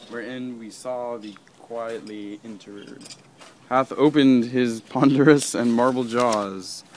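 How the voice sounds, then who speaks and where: A man's voice: mid-range voice, close to an everyday voice. A man playing a brooding young prince in an amateur Shakespeare reading, declaiming and sometimes stumbling over the lines. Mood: awed, pleading. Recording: some background noise.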